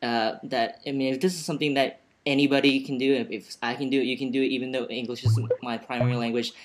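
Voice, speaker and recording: A man's voice: medium pitch, little pitch movement, fast, over music. A man whose first language is Chinese, co-hosting a seminar promo over a video call and talking earnestly with many restarts and fillers. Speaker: seminar co-host.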